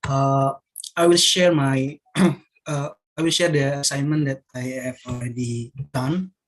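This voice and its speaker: Slow, halting Indonesian-accented man. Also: medium pitch, fairly even intonation, low-quality recording. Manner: halting, many fillers and restarts. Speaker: workshop participant presenting. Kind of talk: hesitant online presentation.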